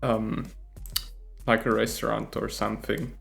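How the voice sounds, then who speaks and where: A man's voice: lowish voice, flat delivery, a little slow, gravelly, fairly bright tone. A South African man, a native Afrikaans speaker, co-hosting a casual podcast chat, hesitant and laughing often. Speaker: podcast co-host. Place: living in America.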